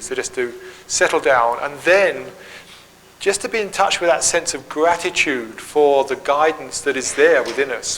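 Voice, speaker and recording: A man leading a conference session, answering questions and reflecting in a calm, gently humorous way. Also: a little high. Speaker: workshop leader. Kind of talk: conference Q&A and talk. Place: conference hall. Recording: hall reverb, faint ambient noise.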